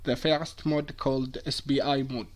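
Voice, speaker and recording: A man's voice: mid-range voice, flat delivery, measured pace. A man giving a scripted technical presentation as a student.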